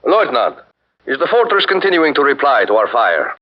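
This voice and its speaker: Authoritative Dutch captain, measured orders, animated pitch, old muffled radio. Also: male voice. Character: commanding Dutch ship captain, man. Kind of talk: 1940s radio acting. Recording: dull lo-fi 1940s radio broadcast.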